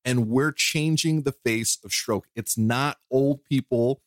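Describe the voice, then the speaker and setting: A man's voice: medium pitch, slow, no room sound, studio quality. A man co-hosting a podcast in casual chat, joking around and acting out the people he quotes. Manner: animated, jokey, acts out quoted people. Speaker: podcast co-host.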